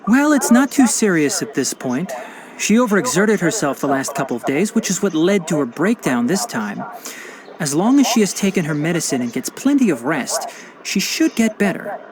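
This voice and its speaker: A man voicing a calm, learned young male forest ranger and botanist in a video game, explaining things in a patient, precise, lecturing way. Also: a little high, moderate pace, crowd noise. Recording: loud game soundtrack music behind.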